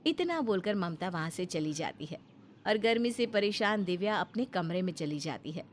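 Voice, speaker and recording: A woman's voice: on the low side, animated, normal speed, soft background music. A woman giving scripted narration of a family story as its narrator.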